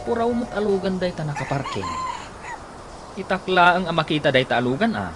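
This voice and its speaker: A man's voice: sits high, lively intonation, fairly quick, over loud music. A man with an Ilocano accent acting an idle, hard-drinking grown son in a radio comedy drama, bantering and whiny. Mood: teasing banter.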